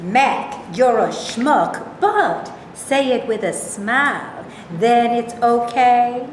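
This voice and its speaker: A woman playing a sharp-tongued, sarcastic, independent woman delivering mocking jabs in a staged reading. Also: on the high side, expressive, slow. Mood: sarcastic, scornful. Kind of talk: staged reading of comic dialogue. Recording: echoey room, faint background noise.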